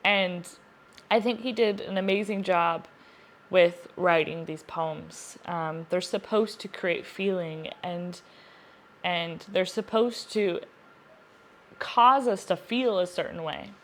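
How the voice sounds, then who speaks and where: A woman's voice: medium pitch, slight background noise. A woman with a mid-range voice talks casually to her viewers as a book reviewer. Her delivery is chatty and earnest, and she often repeats a word to stress it. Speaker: book reviewer, video host.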